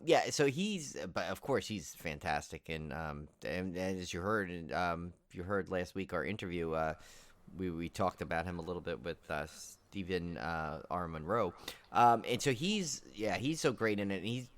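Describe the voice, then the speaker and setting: Low-voiced man rambling casually. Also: fairly bright tone. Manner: rambling, lots of filler words like "you know" and "like". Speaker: podcast co-host. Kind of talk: casual chat.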